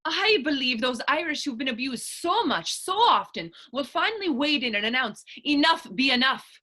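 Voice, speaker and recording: Woman playing a high, bright, fervent Irish idealist. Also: big pitch swings, moderate pace, heavily acted. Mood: impassioned, defiant. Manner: emphatic, rhetorical, persuasive. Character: fervent young Irish woman, political idealist, bold and teasing. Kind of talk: stage readers-theater dialogue in Irish period dialect.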